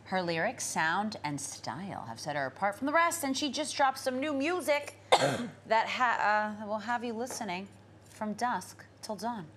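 A woman's voice: medium pitch, very animated, normal speed, background noise. A woman in her thirties co-hosting a TV studio show, playful and teasing in her banter. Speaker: TV co-host. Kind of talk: lively TV host banter.

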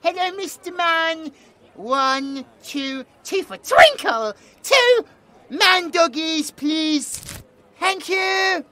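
A man's voice: extremely high pitch, expressive, drawn-out words, bright, forceful tone, exaggerated voice. A British man voicing a childlike, innocent yet eerie possessed young man who speaks of himself in the third person with baby talk, in an audio roleplay. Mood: delighted. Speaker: voice actor.

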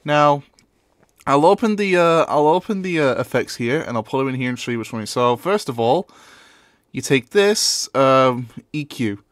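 A man's voice: medium pitch, expressive, normal speed, no echo. Male tutorial presenter, informal and offhand, recorded dry with very little room sound. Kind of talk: casual screen-recorded software tutorial.

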